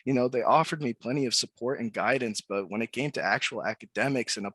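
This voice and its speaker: A man's voice: medium pitch, fast talker, breathy edge, dry recording. Man moderating a casual online panel. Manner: friendly, relaxed, repeats thanks. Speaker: webinar host.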